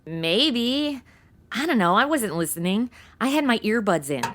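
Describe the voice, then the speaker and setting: Young female sidekick, comedy audio drama, high voice, lively swings, flippant, sarcastic. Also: measured pace. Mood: bored, sarcastic. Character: flippant, easily bored young female sidekick in Robin costume. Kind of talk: comedic audio drama, modern casual slang.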